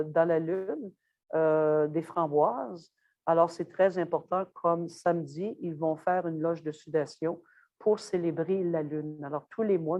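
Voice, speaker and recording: A middle-aged woman from Quebec, speaking slowly and carefully with pauses as a guest speaker. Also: deep voice, mellow. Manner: slow, measured, with frequent pauses and fillers. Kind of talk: webinar presentation; scripted narration in the video part.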